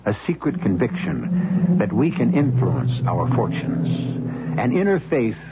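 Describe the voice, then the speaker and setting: A man's voice: mid-range voice, sing-song, measured pace, very noisy. A man hosting an old radio drama, delivering solemn, formal scripted narration. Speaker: show host. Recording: lo-fi broadcast with loud music.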